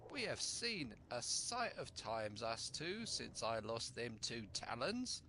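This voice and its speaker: A man's voice: medium pitch, sing-song, slow pace, bright, forceful tone, a little hiss or hum, heavily acted. A man voicing a sly, falsely friendly pirate seaman in sing-song rustic sailor's speech for a storybook. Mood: falsely jolly.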